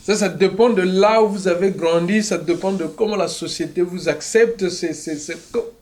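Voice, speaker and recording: A man leads the conversation as host, nodding along out loud and finishing his guests' sentences. His voice sits fairly high for a man. He asks questions in a calm, interested way. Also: moderate pace. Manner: affirming, completes guests' sentences.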